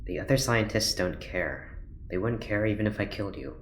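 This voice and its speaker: An actor playing an earnest, worried scientist doctor in an audio drama, with a careful, clinical manner. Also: male voice, fairly low pitch, expressive, normal speed, close to an everyday voice. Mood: guarded, worried. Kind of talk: naturalistic audio drama acting. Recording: roomy, echoing, faint background noise.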